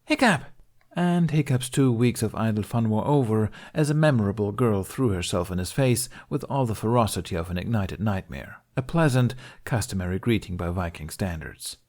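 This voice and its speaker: A man's voice: lowish voice, expressive, normal speed, few pauses, darkish tone. A man reading a story aloud as an audiobook narrator in a scripted, storytelling style.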